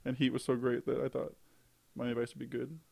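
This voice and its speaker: A man's voice: medium pitch, flat delivery, fairly quick, vocal fry, darkish tone, husky. A man in casual conversation, bluntly trashing a movie with emphatic, scornful complaints. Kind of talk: casual podcast chat.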